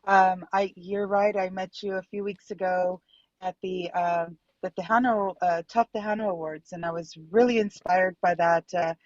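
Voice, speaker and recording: A woman's voice: fairly low pitch, steady tone, normal speed, dry recording. A woman from Dallas, a city council candidate, speaking earnestly as a remote guest from her car, with many fillers. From Dallas, Texas. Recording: dull, dry call audio.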